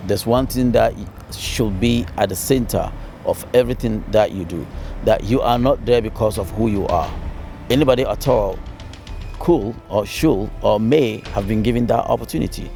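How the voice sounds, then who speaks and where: A man's voice: fairly low pitch, moderate pace, vocal fry, dark tone, soft background music. A man from Ghana, a city councilor, giving earnest interview answers with fillers and repeated phrases.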